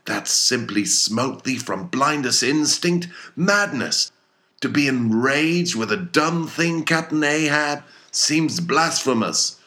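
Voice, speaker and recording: A man's voice: medium pitch, expressive, takes it slowly, bright, forceful tone, pitched above the performer's own voice. A man voicing a sober, principled first mate in a storybook reading, earnest and protesting in dismay. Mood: appalled. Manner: earnest, remonstrating. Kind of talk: dramatic audiobook character reading.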